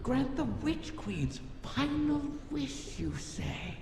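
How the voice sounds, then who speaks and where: Very slow, mocking ancient dragon. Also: female voice, high-pitched, big pitch swings, creaky, full character voice. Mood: sly, mocking. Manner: theatrical, taunting, drawn-out. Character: ancient dead wish dragon, sly and mocking. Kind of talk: fantasy video game voice acting. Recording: cavernous reverb, noisy game capture.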